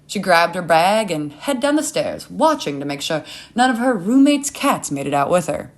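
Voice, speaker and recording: A woman reading a scripted short story as its narrator. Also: lowish voice.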